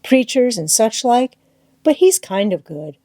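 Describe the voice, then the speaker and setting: A woman's voice: on the high side, sing-song, measured pace, dark tone, dry recording, voice pushed up. A woman voicing a plain-spoken, kindly vagabond boy in an audiobook, with emphatic, drawling rustic dialect. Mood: rueful, kindly.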